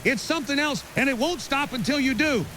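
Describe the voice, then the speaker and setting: A man's voice: very high voice, fairly quick. A man playing a grim, urgent conspiracy theorist as a guest on an apocalypse podcast drama, emphatic and ominous in clipped, certain statements. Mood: urgent warning. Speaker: interview guest. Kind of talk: fictional apocalypse podcast interview. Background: rain and faint hiss.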